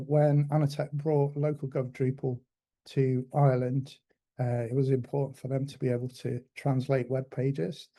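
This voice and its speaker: A man giving a conference talk with a software demo, pausing often with ums and restarting sentences. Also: mid-range voice. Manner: hesitant, many ums and restarts. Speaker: conference speaker.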